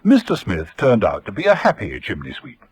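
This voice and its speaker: An actor playing a droll, knowing storyteller, narrating an old radio thriller in an arch, descriptive way. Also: male voice, on the low side, wildly swooping pitch, brisk, slightly rough, full character voice.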